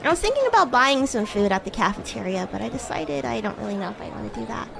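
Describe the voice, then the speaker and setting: A woman's voice: on the high side, very animated, quick pace, gravelly, very noisy, exaggerated voice. A woman voicing a bratty, flustered young female demon classmate in improvised livestream roleplay, teasing and stammering as she acts haughty and defensive. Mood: hesitant, evasive. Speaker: VTuber streamer.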